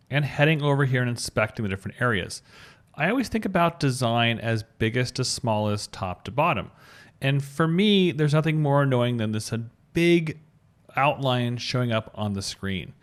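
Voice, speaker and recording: Man casually walking through a tutorial. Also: mid-range voice. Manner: informal, thinks aloud while demonstrating. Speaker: tutorial presenter, web designer. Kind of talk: casual step-by-step screencast narration.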